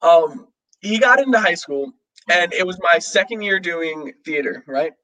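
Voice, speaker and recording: A young man talking casually with a fellow student, his voice sitting slightly high. He rambles and restarts often, packing in fillers as he works through his thoughts. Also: lively intonation, a little slow, bright, forceful tone, poor audio. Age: young adult. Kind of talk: casual chat.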